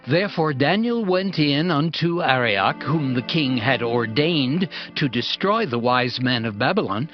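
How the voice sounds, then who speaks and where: A man narrating dramatized scripture in a measured, formal storytelling style. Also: mid-range voice, animated. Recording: dull band-limited audio under prominent music. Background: music.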